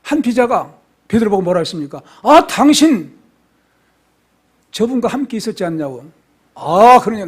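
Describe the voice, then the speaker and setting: Male pastor, high-pitched, fervent expository preaching, hushed asides and loud peaks. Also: breathy edge. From South Korea. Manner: fervent preaching, swings from soft near-whispers to loud exclamations. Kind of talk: expository sermon. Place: church sanctuary.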